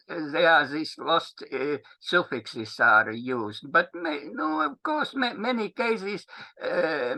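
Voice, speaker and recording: A man with a Latvian accent, a native Latvian speaker, giving an unscripted linguistics talk in English, halting and searching for words with frequent restarts. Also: sits high, pushed and bright, low-quality recording.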